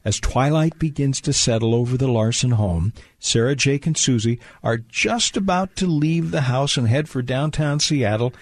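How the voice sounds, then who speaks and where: A man acting as the genial narrator of an old-time holiday radio play, with polished, deliberate storyteller phrasing. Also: mid-range voice, close to an everyday voice. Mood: scene-setting.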